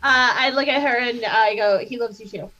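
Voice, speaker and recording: A woman with a somewhat high voice chats as a player in a tabletop game. Her speech is casual and conversational. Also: fairly quick, a bit bright, lo-fi sound. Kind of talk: casual chat during a role-playing game.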